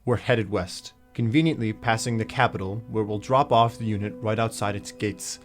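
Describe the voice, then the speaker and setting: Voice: medium pitch, moderate pace, faint music behind, natural voice. An actor playing a practical, composed leader of a troupe of performers in a radio drama. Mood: composed, decisive.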